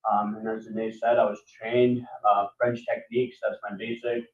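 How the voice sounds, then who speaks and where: A man from Nepal, a chef, introducing himself to an event audience in a soft, hesitant way. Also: lowish voice, sing-song, moderate pace, creaky. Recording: distant, echoey, phone-like audio.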